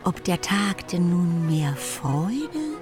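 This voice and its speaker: A woman's voice: on the low side, big pitch swings, very slow. A woman reading scripted literary narration as the narrator of a radio play. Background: music.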